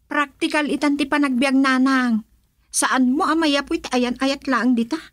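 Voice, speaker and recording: A woman's voice: high-pitched, steady tone, normal speed, no room sound, studio quality, lightly acted. An actress playing a blunt, practical young woman in a radio drama, insistent and with a Filipino accent. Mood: defiant. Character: practical, blunt young daughter leaving her sweetheart for money. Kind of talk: Ilocano radio drama acting.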